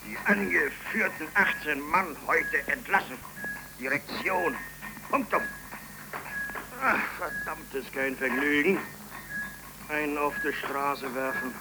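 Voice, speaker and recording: A man's voice: on the high side, slow pace, long pauses. An actor playing a weary, reluctant male factory foreman in a 1930s German radio drama. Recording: very noisy 1931 radio recording.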